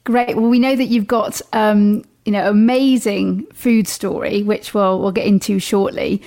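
A woman's voice: mid-range voice, steady tone, a little slow, mellow. A woman co-hosting a food podcast, reading an ad and welcoming a guest in a friendly way. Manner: friendly, reads lines smoothly. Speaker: podcast co-host, food blogger.

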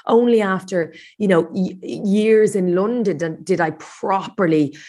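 A woman's voice: mid-range voice, unhurried, warm tone. An Irish woman guest in casual chat, talking freely with frequent restarts and laughs. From Ireland.

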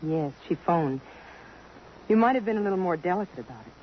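An actress playing a poised, independent young society woman, composed and gently reproving, in a 1950s radio drama. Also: lowish voice, lively intonation, fairly quick, natural voice. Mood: cool, reproving. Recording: dull, noisy old radio recording.

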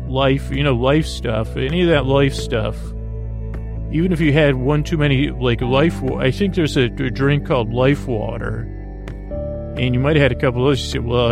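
A man hosting a bedtime podcast, rambling softly off on tangents in lulling, creaky, dulcet tones. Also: medium pitch, dry recording, studio quality. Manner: meandering, tangent-prone, self-interrupting, drowsy and soft. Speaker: podcast host.

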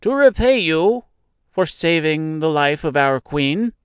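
A man voicing a small, loyal field mouse in a shrill, eager, deferential voice for a storybook. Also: animated, takes it slowly, clear, resonant tone, no echo, clean studio sound. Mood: humble. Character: small excitable field mice, loyal subjects of their queen. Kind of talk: theatrical storybook character reading.

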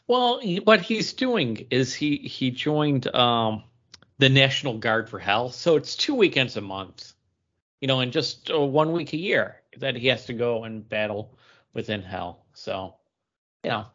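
A male podcast co-host speaks in a casual conversational style. His voice sits at an average pitch. The sound is slightly dull, like a modest home recording. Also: animated, unhurried, a bit bright. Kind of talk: casual comic book discussion.

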